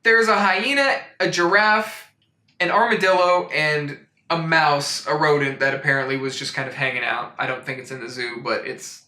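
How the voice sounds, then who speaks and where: A man hosting a comic storytime in a mock-serious, deadpan way, rambling into incredulous asides. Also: on the high side, moderate pace, roomy sound. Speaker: host of a comic storytime show. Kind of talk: deadpan comedic picture book review.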